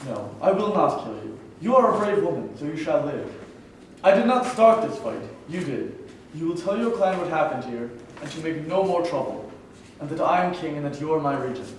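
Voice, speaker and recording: A man's voice: fairly high pitch, very animated, moderate pace, a little hiss or hum. A man reading the part of a stubborn, self-righteous caveman king in a staged play reading, with stiff, solemn pronouncements. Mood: cold. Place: theater stage. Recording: echoey room, mic far off.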